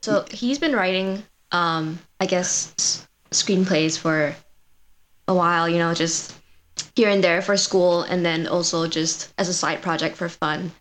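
A woman's voice: on the low side, measured pace, a bit bright, a little hiss or hum. A woman in her twenties from Malaysia, chatting as a student filmmaker guest in a loose, conversational way full of fillers. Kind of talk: casual chat.

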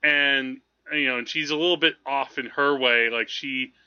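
A man hosting a film podcast, chatting casually in a rambling, halting way as he thinks aloud. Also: medium pitch, fairly even intonation, pushed and bright, smooth, muffled recording. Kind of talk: casual movie discussion.

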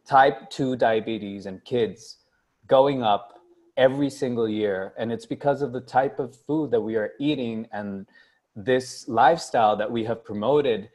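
A man's voice: mid-range voice, unhurried, darkish tone. A man from Costa Rica speaking hesitantly, with many fillers, in a casual discussion. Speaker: panelist.

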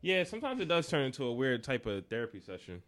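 A man's voice: mid-range voice, wildly swooping pitch, fast, fairly bright tone. A man in his thirties hosting a casual podcast from a home setup, talking in a laid-back, joking way. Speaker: podcast host. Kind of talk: casual chat. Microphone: desk microphone.

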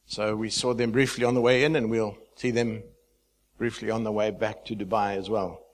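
A man in his fifties from Zimbabwe, a pastor speaking to a church, chatty and halting, with many fillers and restarts. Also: fairly low pitch, flat delivery. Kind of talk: sermon introduction.

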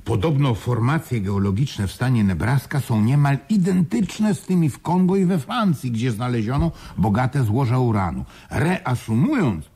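An animated, practical fellow in a radio drama. The husky voice rises and falls widely as he reasons things out conversationally. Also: mid-range voice, moderate pace, few pauses. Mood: informative. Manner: conversational, animated, hesitant repetitions. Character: excitable, pragmatic man explaining news to his partner. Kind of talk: Polish radio play acting.

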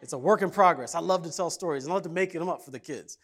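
Pastor, male, from Kenya; relaxed storytelling sermon, playful asides, slightly high voice. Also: fast. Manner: conversational, jokey, animated. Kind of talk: casual sermon with stories.

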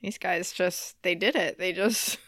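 A woman's voice: on the low side, takes it slowly, fairly bright tone, no room sound, studio quality. A woman chatting casually and informally as a podcast co-host, easily amused. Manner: laughs readily. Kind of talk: casual chat.

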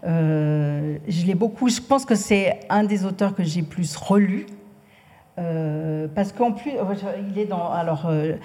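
A woman's voice: on the low side, a little slow, mellow, in a large hall. A woman writer speaking as a guest at a literary talk, hesitant, with many fillers. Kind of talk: casual conversational interview.

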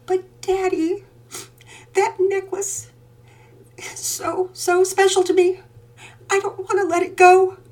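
A woman's voice: extremely high pitch, level tone, takes it slowly, pauses often, a little mellow, pitched above the performer's own voice. A woman voicing a distraught little girl who pleads with her father in a storybook reading. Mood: pleading.

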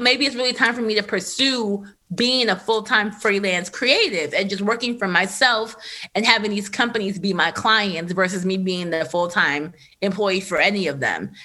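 A woman in her thirties, a podcast host, telling a candid personal story in a loose, animated way with lots of fillers. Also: mid-range voice. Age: 30s. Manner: animated, filler-heavy, laughs often. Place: Los Angeles area.

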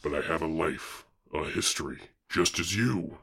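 Man doing a gravelly brooding swamp monster. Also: a bass voice, normal speed, fairly bright tone, voice pushed down, full character voice. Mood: defiant. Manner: pleading, dramatic reading.

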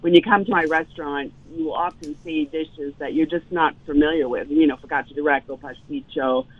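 A woman's voice: low-pitched, fairly quick. A woman, a chef telling stories as a guest in a casual interview, with many ums. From Louisiana, US South. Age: 50s. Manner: animated storyteller, frequent ums. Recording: tinny phone line, dry.

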